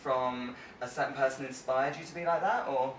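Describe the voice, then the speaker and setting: A man's voice: medium pitch, moderate pace. A British man hosting a casual, chatty interview in a playful, exclamatory way. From United Kingdom. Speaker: interview host. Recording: roomy, distant mic, faint background noise.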